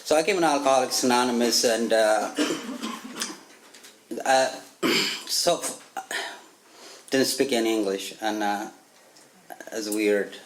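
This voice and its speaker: A man in his thirties from Ethiopia with an Ethiopian accent, telling his recovery story as a guest speaker at a meeting, joking and laughing often with halting restarts. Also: medium pitch, a little hiss or hum. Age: 30s. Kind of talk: personal recovery story, casual chat. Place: church meeting room.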